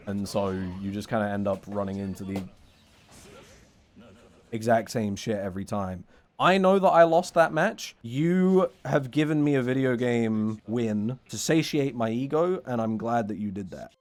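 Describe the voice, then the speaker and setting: Male streamer, wry and rambling, chatting to viewers between matches, mid pitch. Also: darkish tone, a little hiss or hum. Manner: joking, sarcastic, rambling. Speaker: streamer talking to chat. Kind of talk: casual live-stream chat while playing.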